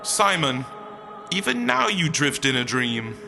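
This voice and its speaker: A man playing a holy being of light in a comic audio drama, booming and declamatory, with flippant, teasing asides. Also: big pitch swings, measured pace, vocal fry, sharp tone, exaggerated voice. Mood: solemn warning. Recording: music behind the voice.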